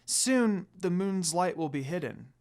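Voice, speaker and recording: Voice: medium pitch, expressive, unhurried, a little mellow. A narrator voicing an eager, curious little girl in a fan fiction story. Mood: earnest.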